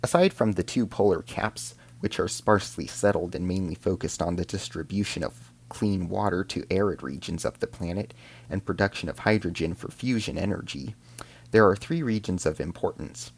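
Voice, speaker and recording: A man's voice: sits low, normal speed, breathy edge. A man narrating a scripted science fiction drama in the first person as an alien soldier, in a solemn, formal storytelling manner.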